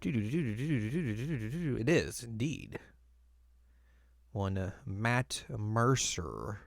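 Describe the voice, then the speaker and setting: A man's voice: on the low side, big pitch swings, very slow, gravelly, dry recording, clean studio sound. A man co-hosting a podcast in casual chat, with an informal manner and wry asides. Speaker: podcast co-host. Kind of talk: casual chat ranking video game movies.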